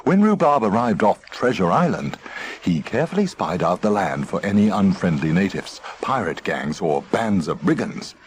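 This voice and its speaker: A man narrating a children's story in an expressive, playful manner. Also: low-pitched, normal speed. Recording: faint noisy old tape transfer.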